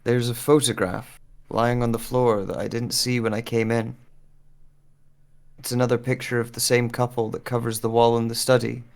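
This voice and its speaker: A grieving, haunted explorer acted by a man records a journal for an audio drama. The voice is gravelly and mid-pitched, with slow, measured, brooding delivery. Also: flat delivery, close to an everyday voice. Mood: puzzled. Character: grieving, haunted lone explorer of an empty parallel world.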